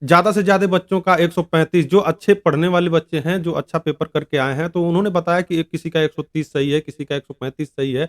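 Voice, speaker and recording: Man, somewhat high-pitched voice, online video host, informal explainer, advising tone, repeats and rephrases. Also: fairly even intonation, fast, hardly any pauses. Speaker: YouTube exam-advice host. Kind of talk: informal explanatory talk to viewers.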